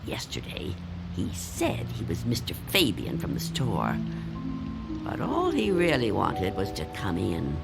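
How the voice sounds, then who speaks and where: An actress playing a frightened, reclusive old woman in a radio drama, speaking in a halting, insistent, theatrical way. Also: extremely low pitch, huge pitch swings, vocal fry, exaggerated voice. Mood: bitter, agitated. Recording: loud music under the voice.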